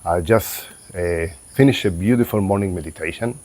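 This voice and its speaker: Deep-voiced man, calm and unhurried. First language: Hebrew. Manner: calm, unhurried, with pauses and restarts. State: voice a bit closed after meditation. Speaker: qigong and tai chi teacher. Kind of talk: reflective spoken talk to camera.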